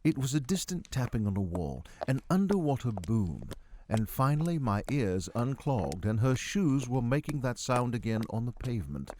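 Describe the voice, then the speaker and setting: A man delivers theatrical, first-person story narration in the role of a miserly, befuddled doctor. His literary phrasing is highly expressive, with pitch that moves widely. Faint noise sits behind the voice. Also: moderate pace. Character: miserly, befuddled 45-year-old male doctor recounting his own tale.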